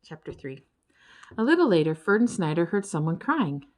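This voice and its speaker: A woman narrating a children's storybook in an expressive, dramatic storytelling way. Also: lowish voice, normal speed. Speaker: audiobook narrator.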